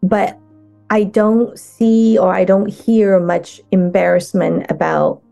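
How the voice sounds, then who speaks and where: A woman speaking as a guest coach in a casual interview, talking in halting bursts with many restarts. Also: medium pitch, steady tone, creaky, dark tone, faint music behind.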